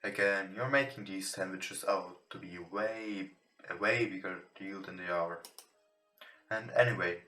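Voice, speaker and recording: A man who is not a native English speaker, reading a visual novel aloud with casual commentary in a somewhat halting way. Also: deep voice, sharp tone. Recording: roomy, echoing home recording. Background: game music.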